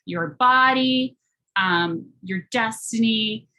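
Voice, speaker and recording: American woman, young adult, bright tone; reflective panel talk, halting with fillers. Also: fairly high pitch. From United States. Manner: earnest and reflective, many fillers and restarts. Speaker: artist speaking on a panel. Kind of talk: panel talk presenting her art.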